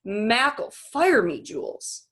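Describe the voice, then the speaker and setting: A skeptical storybook father, read by a woman, reluctant and tired. He speaks slowly and in a measured way, doubting everything put to him. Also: a little high, very animated, a bit bright, slightly rough, exaggerated voice. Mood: firmly refusing. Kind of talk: children's novel read-aloud.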